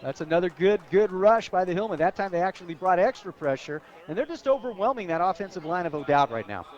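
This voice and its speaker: A man's voice: high voice, lively intonation, fast, darkish tone. An American man working as a radio colour commentator, giving conversational analysis of a live football game outdoors at a stadium. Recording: dull lo-fi outdoor radio broadcast.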